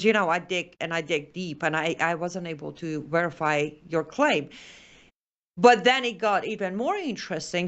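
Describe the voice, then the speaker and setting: A woman's voice: mid-range voice, a bit bright. A woman hosting a political commentary show, making her case point by point with pointed sarcasm. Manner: sarcastic, emphatic, building point by point. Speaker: host.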